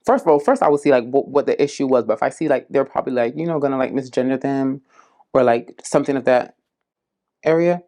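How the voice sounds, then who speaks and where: A woman from South Florida, a community case manager, giving unhurried, earnest interview answers, often pausing with "um" and "like". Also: very deep voice, mellow. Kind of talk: casual interview answers to prompts.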